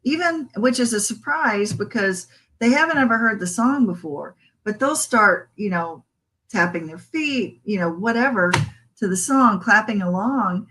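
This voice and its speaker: A woman's voice: medium pitch, animated, measured pace, bright, forceful tone, rough recording. A woman singer-songwriter talking casually as an interview guest, chatty, with lots of fillers.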